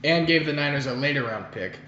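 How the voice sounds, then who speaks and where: A man's voice: fairly high pitch, expressive, brisk, pushed and bright, slight background noise. A young man co-hosting a podcast, telling stories in a rambling, jokey, profane way in casual chat. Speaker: podcast co-host. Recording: echoey room, dull lo-fi sound.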